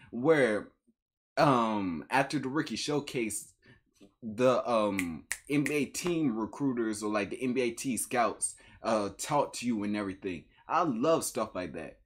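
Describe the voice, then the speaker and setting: Husky, animated young American man. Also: a little high. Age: young adult. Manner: slangy, repeats himself, chuckling. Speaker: reaction video host. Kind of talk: casual reaction commentary. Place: small room at home, indoors.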